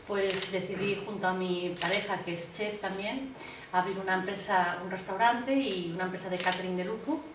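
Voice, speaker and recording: A woman with a Catalan accent introducing herself fluently and conversationally at a meetup. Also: medium pitch. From Barcelona, Spain. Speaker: marketing director and caterer. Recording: echoey room, distant mic, background noise.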